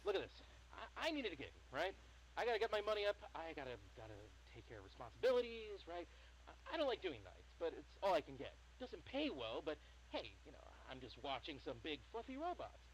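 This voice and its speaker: A man playing an excitable, nervous night guard in a game, rambling and exclaiming in frantic narration. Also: fairly high pitch, fairly quick, slight background noise, low-quality recording. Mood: uneasy, joking. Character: excitable, nervous young male night guard recounting scary footage. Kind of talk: animated first-person video narration.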